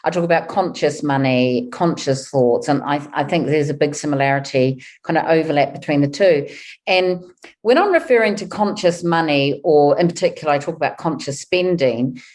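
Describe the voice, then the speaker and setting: A woman with a New Zealand accent speaks in a low, rather deep register. She is in her fifties and talks as an interview guest, chatty and thinking aloud as she answers. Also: animated, normal speed, darkish tone. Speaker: guest, wealth coach.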